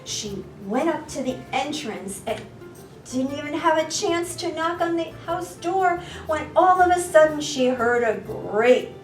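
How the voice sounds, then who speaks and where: Slow, high-voiced woman reading a children's story. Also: expressive, roomy sound, far from the mic, faint music behind, rough recording. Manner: drawn-out words, gasps for suspense. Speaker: storytime reader. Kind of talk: expressive children's story narration.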